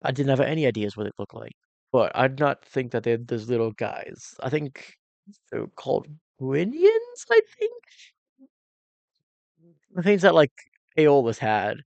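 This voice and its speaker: A man's voice: medium pitch, huge pitch swings, unhurried, vocal fry, long pauses, darkish tone, no echo, dull audio. A man giving casual, exclamatory reaction commentary as a cinematographer and host, thinking aloud informally while explaining technique.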